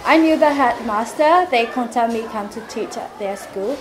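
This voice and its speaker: A woman's voice: on the high side, normal speed. Echoey Burmese-accented woman in her twenties. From Burma. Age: 20s. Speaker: school teacher. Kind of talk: documentary interview in non-native English. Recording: echoey, noisy crowd of voices behind.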